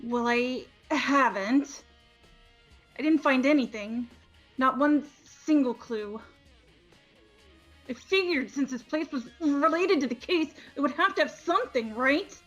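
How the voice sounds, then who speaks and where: A woman's voice: high voice, a little slow, dull audio, faint music behind. A woman voicing an insecure, paranoid teenage girl from a video game, with indignant, stammering outbursts. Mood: sullen, defensive. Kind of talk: amateur voice acting of a video game script.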